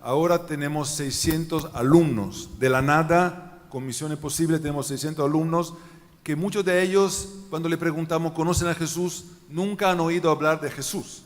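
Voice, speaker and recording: A man speaking as a missionary guest in front of a congregation, earnest and emphatic. His voice is on the higher side for a man, and he stacks up points in lists. The large hall adds a long reverb. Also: moderate pace. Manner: earnest, emphatic lists, quiet falling endings. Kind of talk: interview answers in church.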